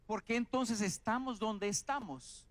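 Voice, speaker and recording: Man interpreting a sermon phrase by phrase. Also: high voice. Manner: quick phrase-by-phrase rendering with small restarts. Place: large indoor arena stage. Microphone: handheld microphone.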